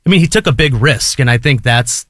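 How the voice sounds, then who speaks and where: A man guesting on a radio show, talking casually with a loud voice in a rambling, hesitant way. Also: medium pitch, expressive, a little fast, no echo, studio quality. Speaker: studio guest. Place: radio studio. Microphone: studio mic.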